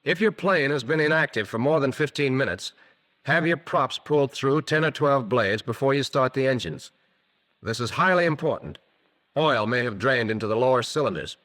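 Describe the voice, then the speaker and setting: An American man narrating a scripted 1940s instructional film in a crisp, methodical manner, on a vintage film soundtrack. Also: mid-range voice, animated, normal speed, fairly bright tone.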